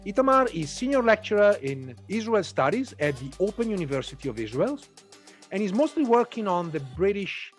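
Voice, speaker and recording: A man's voice: high-pitched, big pitch swings, fairly quick, soft background music. A man hosting an interview podcast, introducing his guest formally with some hesitant fillers. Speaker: podcast host.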